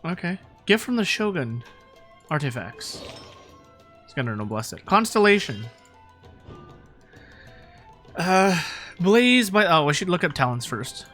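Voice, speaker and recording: A woman giving casual, excitable live commentary as she streams a game, playfully reacting. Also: fairly low pitch, moderate pace, soft background music.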